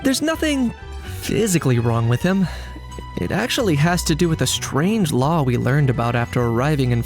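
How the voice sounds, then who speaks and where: Man voicing a deadpan, cool young man. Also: medium pitch, close to an everyday voice. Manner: deadpan, measured, matter-of-fact. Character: cool, pragmatic young man, dryly unbothered. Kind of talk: English video game dub acting.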